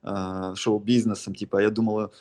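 A man's voice: fairly low pitch, flat delivery, normal speed, a little mellow. A young man from Russia giving casual, rambling, slangy answers as an interview guest, often restarting his sentences. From Russia, Altai region. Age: young adult. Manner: rambling, slangy, frequent false starts. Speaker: interview guest, beatmaker and music producer.

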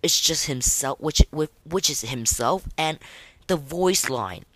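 Casual podcast host, medium pitch, rambles and repeats, stop-start delivery, close dry recording. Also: expressive, no echo. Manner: rambling, halting, repeats words and phrases. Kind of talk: casual chat rating game voice lines.

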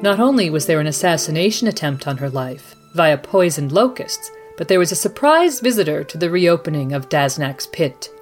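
A woman hosting as a news anchor, reading a scripted bulletin in a measured, formal newsreader delivery. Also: low voice, lively intonation, faint music behind. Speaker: podcast host as news anchor. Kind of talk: scripted mock news broadcast.

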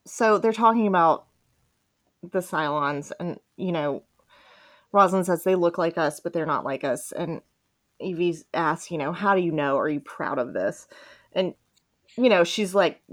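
A woman with a slightly low voice hosts a relaxed, informal discussion. She talks appreciatively and conversationally, dropping quoted lines into her sentences. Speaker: podcast host. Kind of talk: casual chat about fan fiction.

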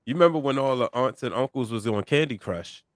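A man's voice: mid-range voice, animated, brisk, no echo. Easygoing male host, dry close sound. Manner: easygoing, laughs often. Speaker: podcast host. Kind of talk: casual chat.

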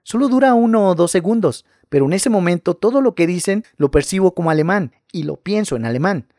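Guy narrating creepy stories, kinda high voice, dry close sound. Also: expressive, fairly quick, darkish tone, no room sound. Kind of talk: scripted narration of scary stories.

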